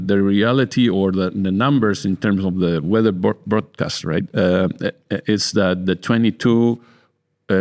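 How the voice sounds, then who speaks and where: Male host, slightly deep voice, casual interviewing with halting, word-repeating delivery. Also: a little mellow. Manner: halting, repeats words while searching for phrasing. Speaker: interviewer, winemaker.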